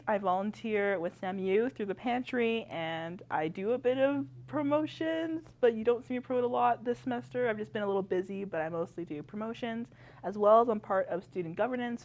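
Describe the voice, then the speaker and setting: A woman's voice: mid-range voice, darkish tone. A young woman from Canada, a university student, talking as a podcast guest in chatty style and laughing often. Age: young adult. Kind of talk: casual chat.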